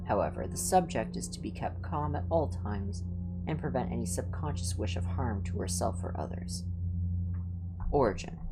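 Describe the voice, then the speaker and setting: A woman with a very deep voice reads stories aloud as a livestream host. Her pitch moves in a lively way between the reading and casual remarks. Music plays clearly behind her. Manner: switches between upbeat chat and serious, measured reading.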